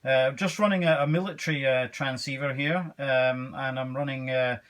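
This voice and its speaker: A man talking casually as an amateur radio operator, with halting phrasing and radio procedure words. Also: mid-range voice, fairly even intonation, fairly bright tone. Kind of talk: casual ham radio exchange.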